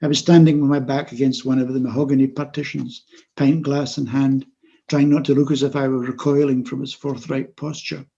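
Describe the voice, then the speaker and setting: Older man, author reading his memoir; gravelly, husky, fairly high, dull video-call sound. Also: little pitch movement, mellow. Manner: measured, wry literary reading. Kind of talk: reading his own memoir prose aloud.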